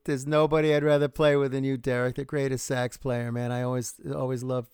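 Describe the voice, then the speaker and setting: Easygoing male host, smooth voice. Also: mid-range voice, little pitch movement, fairly quick, a little mellow, no echo, clean studio sound. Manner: friendly and easygoing, calls people man. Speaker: show host, guitarist. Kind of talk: casual interview hosting.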